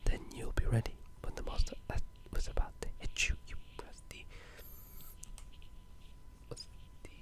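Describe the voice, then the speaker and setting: A video creator speaking in a breathy, unvoiced whisper, giving casual, rambling and enthusiastic ASMR commentary on gaming. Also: measured pace, pauses often.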